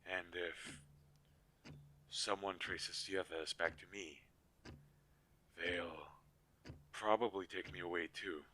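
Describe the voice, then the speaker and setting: A man playing a weary, protective magical inventor in an audio drama, speaking earnestly with halting pauses. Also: fairly even intonation, pushed and bright, exaggerated voice. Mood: grave, worried. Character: weary, protective male inventor-mage, creator of living dolls. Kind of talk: scripted audio roleplay drama. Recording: low quality, audible background hiss.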